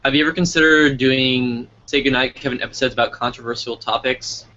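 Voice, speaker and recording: A man's voice: mid-range voice, steady tone, brisk, pushed and bright. A man from New Mexico hosting a casual interview, chatting and putting questions to his guest. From New Mexico, United States. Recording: muffled lo-fi sound with background noise.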